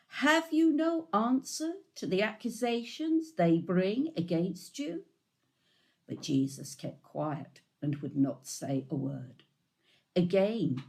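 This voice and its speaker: A woman reading scripture solemnly and deliberately for a church service, giving emphasis to quoted speech. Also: sits low, darkish tone. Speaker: scripture reader. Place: online video-call service.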